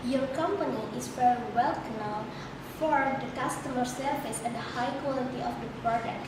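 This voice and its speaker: A woman's voice: sits high, moderate pace. A woman from Indonesia with an Indonesian accent, playing a job applicant and giving earnest, memorized answers. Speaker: job applicant in a student role-play. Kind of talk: rehearsed role-play interview. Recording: echoey room, far off, loud noise.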